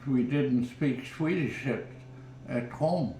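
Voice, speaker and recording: Elderly man in his nineties, seated home interview; unhurried, stumbling storyteller, faint room ambience. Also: mid-range voice, mellow, roomy sound, recorded from a distance, slight background noise, rough recording. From Minnesota, USA. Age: 90s. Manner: halting, repeats words, chuckles. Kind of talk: oral history reminiscing.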